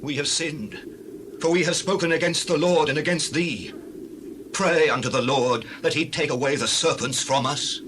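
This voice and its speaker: A man's voice: a little high, normal speed, close to an everyday voice. Man playing an earnest Israelite over noisy ambience. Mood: penitent, pleading. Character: discouraged Israelite man pleading for his wandering people. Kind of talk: dramatized scripture reading.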